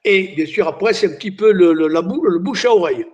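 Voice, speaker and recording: An older man born in Italy, Italian his first language, chats as an interview guest. His voice sits high, and he wanders through anecdotes with false starts and little "hein" tags. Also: lively intonation, quick pace, a little mellow, highs cut off. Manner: chatty storyteller, many restarts and "hein". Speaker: singer and entertainer, guest. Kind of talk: casual interview chat.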